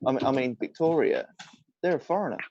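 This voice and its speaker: A man's voice: medium pitch, lively intonation, quick pace, darkish tone, dull audio. A man hosting a casual group chat, pressing his point in wordy, repeated phrases when he is interrupted.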